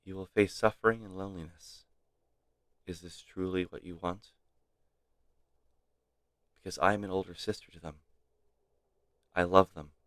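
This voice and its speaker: A man streaming a game, reading its text aloud dramatically with measured, theatrical pauses. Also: sits low, fairly even intonation, fairly bright tone, no room sound.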